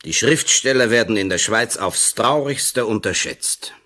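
A man's voice: on the low side, measured pace, sharp tone. A man playing a vain, prickly writer in a radio play, curt and sardonic in manner. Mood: mocking. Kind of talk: 1980s radio play acting.

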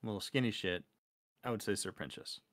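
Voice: deep voice, a little fast, a bit bright. A livestream co-host chatting casually in an informal, sweary way.

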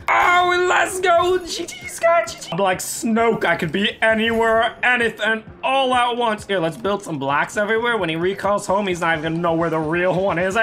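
A young man streaming a game, excited and laughing, hoarse from losing his voice, his voice cracking high. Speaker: gaming streamer. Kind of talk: excited gameplay commentary. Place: home gaming setup, indoors.

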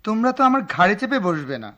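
A man's voice: high-pitched, very animated, quick pace, pushed and bright, full character voice. A man with a Bangladeshi accent acting an enigmatic, teasing male traveller in a radio drama, in a cryptic theatrical manner. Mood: cryptic, amused. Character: enigmatic teasing male passenger at a deserted station.

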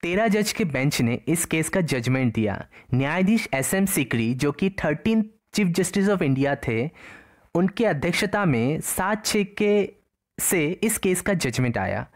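A woman's voice: lowish voice, sing-song, unhurried. A woman narrating a slide-based exam-prep lecture, explaining each term in two languages. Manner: explains each term twice, in Hindi and in English.

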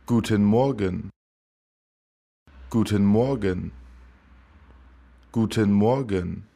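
Man, language teacher, slow repeated drill, very unhurried, lowish voice. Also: warm tone. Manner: says each phrase three times, slow and clear.

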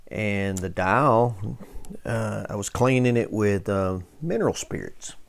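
A man hosting a casual hands-on restoration demonstration, talking in a folksy, halting way as he thinks aloud while working. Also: fairly low pitch, vocal fry. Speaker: host of a vintage radio restoration channel.

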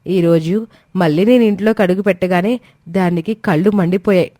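A woman's voice: on the low side, a little fast, dark tone, dry recording, clean studio sound, lightly acted. A woman voicing a resentful visiting aunt in a dramatised moral story, complaining and insinuating. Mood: offended, insinuating.

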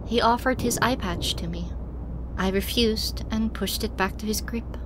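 A woman reading a first-person story as an audiobook narrator, with measured, dramatic delivery. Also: mid-range voice, background noise. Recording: music playing behind the voice. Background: music.

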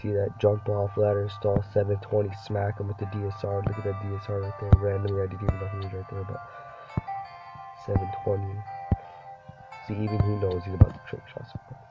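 A man's voice: on the low side, completely flat delivery, quick pace. A young man with a cold, rambling casually over gameplay as a gaming YouTuber and saying "dude" a lot. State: sick, voice deeper than usual. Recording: dull lo-fi recording with game music behind. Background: game music.

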